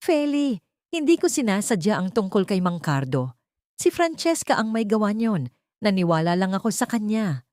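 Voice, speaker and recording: A woman's voice: mid-range voice, very animated, moderate pace, a little mellow, no echo, studio quality, close to an everyday voice. A woman storyteller voicing a disgraced executive who pleads desperately with his former lover in a dramatic romance tale. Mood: defensive, pleading. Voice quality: cracked, trembling. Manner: pleading, imploring.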